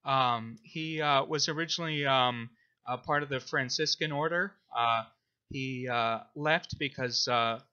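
A man with a strikingly bright, sharp voice of medium pitch talks through a book discussion as co-host. His delivery is halting, broken by many ums and uhs. The recording is a little lo-fi. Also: clear, resonant tone. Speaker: podcast co-host.

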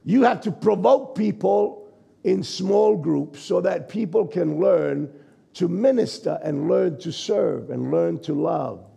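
A man in his sixties, a pastor from New Zealand, preaching a sermon from a church stage with a croaky, gravelly voice and a conversational manner. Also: high voice, lively intonation, slow pace, mellow. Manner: conversational preaching, checks in with the congregation. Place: church stage, large indoor hall. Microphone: stage mic at a lectern, far camera.

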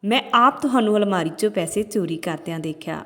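A woman's voice: mid-range voice, lively intonation, brisk, fairly bright tone, natural voice. A woman storyteller voicing a cruel, accusing mistress of a house, scolding and commanding, in a narrated story. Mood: angry, accusing. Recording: long hall-like reverb on voice.

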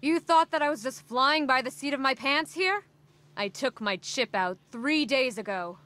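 A woman's voice: high voice, lively intonation, moderate pace, bright, forceful tone, slight background noise. An actress playing a tough, guarded young female agent in a horror video game, with a terse, sardonic manner. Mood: defiant.

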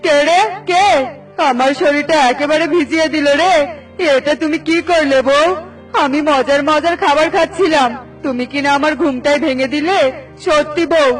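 Henpecked cartoon bird husband played by a woman, high-pitched, lazy, grumbling, with faint music behind. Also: normal speed, natural voice, low-quality recording. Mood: startled, complaining. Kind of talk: Bengali children's cartoon dialogue.

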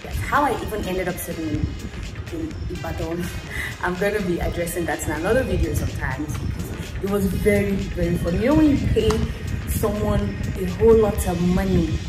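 Chatty Nigerian woman, echoey room, music. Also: on the low side. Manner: chatty, laughs often, wanders into asides. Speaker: vlogger. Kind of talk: casual chat to camera.

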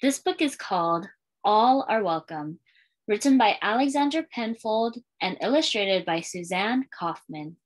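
A woman's voice: mid-range voice, animated, unhurried, low-quality recording. A woman in her thirties, a school librarian, reading a picture book aloud to young children in a sing-song storytelling way. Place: small indoor room with bookshelves and curtain.

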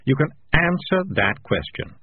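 A man's voice: on the high side, sing-song, unhurried, phone-quality sound, slight background noise, voice pushed up. A man voicing a sly, glib talking tomcat from a storybook, chatty and cajoling with mock cheer. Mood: mock cheerful.

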